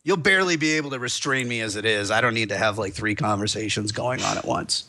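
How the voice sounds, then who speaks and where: A man who is a lawyer guest, talking in a rambling, excitable way with lots of restarts. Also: fairly low pitch, fast talker, creaky, slight background noise. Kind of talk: animated podcast discussion.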